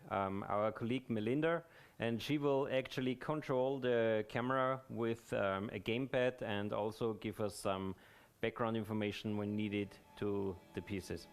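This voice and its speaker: A man moderating a session and explaining technology step by step in plain terms. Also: medium pitch. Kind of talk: explanatory tech talk. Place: immersive presentation space. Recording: clear background noise, room ambience.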